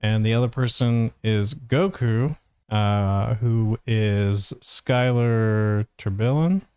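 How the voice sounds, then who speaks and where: A man's voice: fairly low pitch, takes it slowly, a little mellow, clean tone, dry recording. A man giving casual, hesitant stream commentary, thinking aloud as he reviews a dub. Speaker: voice actor reviewing a fandub.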